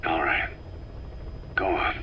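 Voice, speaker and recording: An actor voicing a grave, guarded mercenary commander in a video game, speaking in terse, probing phrases. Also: male voice, takes it slowly, sharp tone, a little hiss or hum. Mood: probing, quiet. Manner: terse, clipped questions. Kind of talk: scripted video game voice acting.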